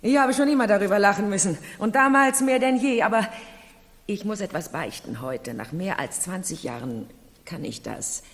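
An actress in a 1970s German radio play, playing a proud, high-strung middle-aged noblewoman with a haughty, confessional manner. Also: lively intonation, moderate pace, lightly acted. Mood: confessional, wistful. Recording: reverberant room, vintage radio drama.

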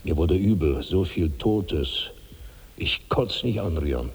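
Huge weary glutton in his 60s, dark husky voice, morbid radio play monologue, big echo. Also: low-pitched, animated, a little slow, full character voice. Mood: brooding disgust. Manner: brooding, morbid, weighty delivery. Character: Karnak, monstrous, weary 65-year-old giant glutton. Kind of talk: 1960s German radio play acting, declamatory monologue. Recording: long reverberant hall.

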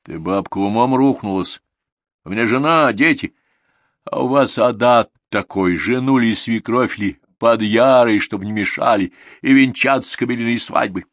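A man voicing an indignant village man who shouts back in his defence. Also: mid-range voice, moderate pace, dry recording, voice pushed up, natural voice.

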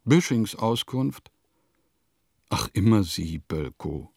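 Slow, gravelly, sardonic composer. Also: male voice, lowish voice, very animated, darkish tone, no room sound, studio quality, full character voice. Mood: musing, sardonic. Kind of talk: literary radio play monologue.

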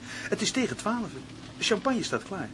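An actor in a radio play playing a cold, calculating man who taunts his partner in a theatrical, deliberate, mock-sweet way. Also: sits high, very animated, background noise. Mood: mock cheerful. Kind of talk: Dutch radio play acting.

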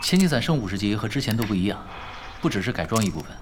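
A laid-back pro gamer, lively intonation. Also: male voice, fairly low pitch, very fast, background noise. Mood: explaining. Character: laid-back, skilled male pro gamer, calm and focused. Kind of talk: Chinese audio drama acting.

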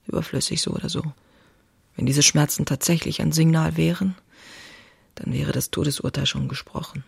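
An actress playing a passionate, anxious woman writer in her thirties, reading her diary in an intimate, confessional way with a husky, breathy voice. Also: deep voice, no room sound, clean studio sound, full character voice. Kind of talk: dramatic literary diary reading.

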